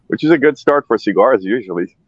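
A man's voice: mid-range voice, expressive, fairly quick, breathy edge, dry recording, dull audio. A man in his thirties from Switzerland, a cigar maker guest talking loosely and candidly on a radio show, full of fillers and restarts. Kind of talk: relaxed interview talk. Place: radio studio.

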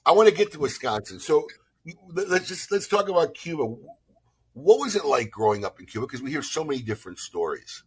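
A man hosting a casual interview, teasing his guest in a jumpy, animated way. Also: on the high side, brisk, husky, low-quality recording. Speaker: host.

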